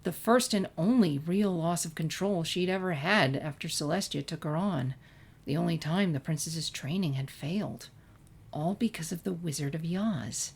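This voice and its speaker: A woman's voice: low voice, moderate pace, vocal fry, slightly rough. A woman narrator reading a scripted fan-fiction story expressively.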